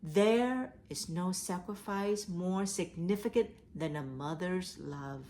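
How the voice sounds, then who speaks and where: A woman reading and talking to children as a storyteller, with deliberate, emphatic phrasing. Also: fairly low pitch, darkish tone. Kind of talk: children's read-aloud storytelling. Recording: echoey, roomy sound.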